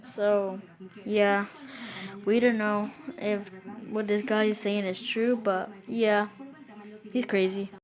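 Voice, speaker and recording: A woman improvising a scary story in a casual, halting and repetitive way. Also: mid-range voice, flat delivery, crowd noise.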